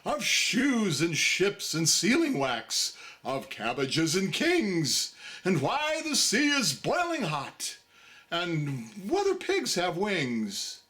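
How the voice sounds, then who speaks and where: A man voicing a pompous, sentimental and slyly greedy storybook walrus with grandiose, drawn-out oratory. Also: high voice, wildly swooping pitch, sharp tone, exaggerated voice. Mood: grandly pompous.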